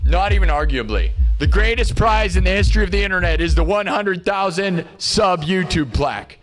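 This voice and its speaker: A man's voice: high-pitched, lively intonation, normal speed. A man from Des Moines, Iowa, hosting a live music stream, chatting casually and rambling as he talks to viewers. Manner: upbeat and rambling, keeps asking viewers "right?". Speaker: livestream host and live-looping musician. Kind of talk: casual livestream chat to viewers. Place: home music studio, indoors.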